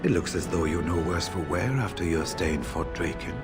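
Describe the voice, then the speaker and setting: An actor playing a seasoned, plain-spoken veteran warrior in a fantasy video game, measured and familiar as he talks. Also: sits low, lightly acted. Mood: friendly, businesslike. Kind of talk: fantasy RPG dialogue. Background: game music.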